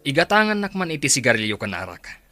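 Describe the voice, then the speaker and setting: Bullying stepfather, bright forceful voice, animated pitch swings, commanding, radio drama with music. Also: fast, dry recording. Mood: threatening, bossy. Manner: domineering, gruffly commanding. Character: bullying, bossy live-in boyfriend of mother.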